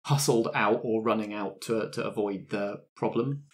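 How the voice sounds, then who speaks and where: A man's voice: on the low side, fairly even intonation, normal speed. Man running a tabletop game, conversational. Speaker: game master. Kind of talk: narrating a tabletop roleplaying game.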